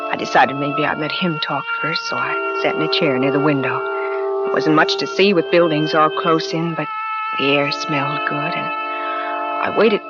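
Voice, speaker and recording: An actress playing a worried wife who also narrates, in a vintage radio drama, anxious and stammering. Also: female voice, sits low, fairly even intonation, moderate pace, over loud music, natural voice. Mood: narrating. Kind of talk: 1940s radio acting. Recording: dull lo-fi old radio recording.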